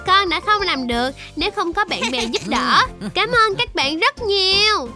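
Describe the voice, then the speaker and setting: An actor voicing a cheerful, excitable little baby shark in a children's cartoon. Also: extremely high pitch, moderate pace. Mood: grateful. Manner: excited, exclaiming. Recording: loud children's music behind. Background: loud children's music.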